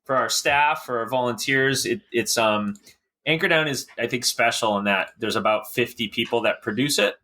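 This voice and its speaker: Guy from connecticut being interviewed, bright punchy voice, chatty, stops and starts a bit. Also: mid-range voice, moderate pace. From Connecticut, USA. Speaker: podcast guest, race director. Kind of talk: casual interview chat.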